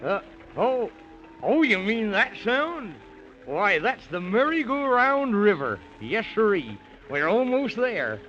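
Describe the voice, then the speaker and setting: A fussy, excitable Man in the Moon for an old radio children's serial. The voice is very high and swoops in a lively, folksy way. The recording is dull and noisy. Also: slow pace, exaggerated voice.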